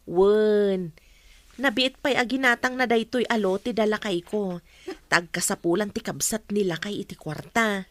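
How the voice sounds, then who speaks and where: A woman's voice: medium pitch, a little slow, dry recording, close to an everyday voice. A woman with an Ilocano accent playing a friendly, frank mother in an audio drama. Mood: explaining.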